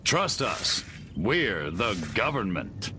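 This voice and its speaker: A man playing an evasive, smug government official in a game cutscene, speaking in a measured way. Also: lowish voice, sing-song, background noise. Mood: coolly assured. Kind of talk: video game cutscene acting.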